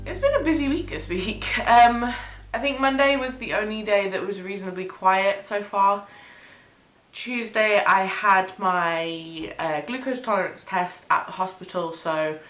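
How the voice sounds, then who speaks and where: A young woman from the UK, chatting casually in a home vlog, sniffly from a cold, with frequent ums and soft laughs. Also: medium pitch, measured pace, faint music behind. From United Kingdom. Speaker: vlogger. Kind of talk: casual pregnancy update vlog. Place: small bedroom or home studio, indoors. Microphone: camera mic, head-and-shoulders framing. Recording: echoey room.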